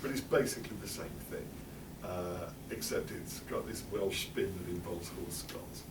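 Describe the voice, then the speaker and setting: A middle-aged man with an English accent speaks a touch low, chatting wryly through an illustrated talk. He stands away from the mic, so the room's echo carries his words. A steady hum of background noise sits under him. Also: moderate pace. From England. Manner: chatty, wry, many fillers and laughs. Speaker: presenter. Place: public library meeting room. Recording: roomy hall, speaker far from mic.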